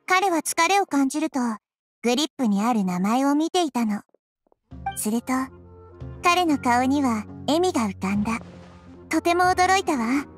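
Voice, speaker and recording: A woman voicing a small, wise and curious girl deity in an anime game, speaking gently and thoughtfully. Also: sits high, expressive, soft background music. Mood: pensive. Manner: gentle, thoughtful, measured feminine speech. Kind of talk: Japanese anime game voice acting.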